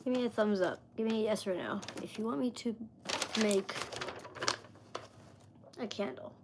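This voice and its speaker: A woman's voice: medium pitch, moderate pace, noisy background. A woman in her mid-thirties chatting casually on a live stream, rambling with lots of fillers as she talks to viewers. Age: 30s.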